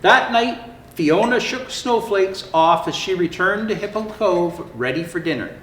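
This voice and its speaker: A man reading a children's picture book aloud in an expressive, theatrical way with drawn-out emphasis. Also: high voice. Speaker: storyteller dressed as Santa Claus. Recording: roomy echo, faint background noise.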